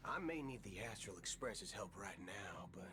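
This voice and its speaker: An actor playing a cocky space cowboy gunslinger in a video game, with a taunting, folksy manner. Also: male voice, on the low side, lively intonation, normal speed, noisy background, close to an everyday voice. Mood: amused menace.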